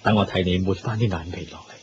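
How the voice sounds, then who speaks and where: A man's voice: sits low, fairly even intonation, fast, natural voice. A man with a Hong Kong Cantonese accent playing a grave, compassionate hunting king in a Buddhist radio drama. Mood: tender, solemn. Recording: dull lo-fi recording with faint noise.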